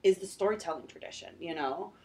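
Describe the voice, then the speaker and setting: A woman with a hoarse, scratchy voice hosting a book interview at a convention, chatty and full of restarts. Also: fairly low pitch, fast talker, darkish tone. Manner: chatty, uses many fillers like "like" and restarts. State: hoarse, slightly lost voice. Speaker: podcast host and book editor. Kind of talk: casual interview chat. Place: convention event, indoors.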